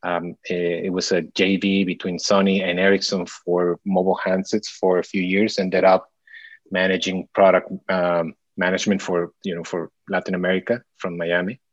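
A man's voice: low voice, flat delivery, moderate pace, no room sound, highs cut off. A man in his forties from Mexico, a podcast guest talking casually, with many restarts and fillers. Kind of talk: casual interview chat.

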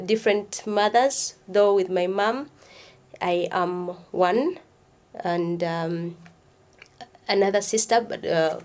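A woman's voice: fairly low pitch, darkish tone. Hesitant woman. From Uganda. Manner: hesitant, many pauses and fillers. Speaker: interviewee. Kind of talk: personal testimony.

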